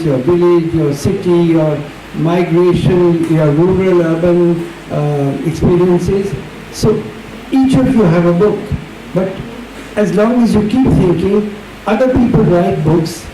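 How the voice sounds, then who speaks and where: An older author from India speaks to a festival audience in an earnest, encouraging way. His voice sits high with a mellow tone. The sound is roomy and heard from some distance, with faint noise behind. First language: English spoken at home, family Punjabi. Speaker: author, panel guest. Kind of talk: festival panel talk. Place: festival hall. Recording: echoey hall from far off.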